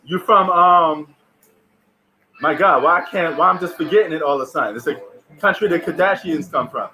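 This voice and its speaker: A man's voice: on the high side, moderate pace, pushed and bright, slight background noise, poor audio. Laid-back New man, slangy chat. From New York. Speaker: live stream host. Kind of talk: live stream reaction commentary. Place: at home.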